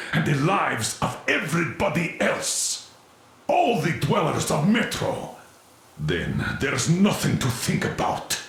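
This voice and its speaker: A man's voice: on the high side, creaky, exaggerated voice. A man voicing a middle-aged, hard and driven military commander in a video game, emphatic and commanding in manner. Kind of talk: dramatic video game acting. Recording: very echoey, reverberant room sound.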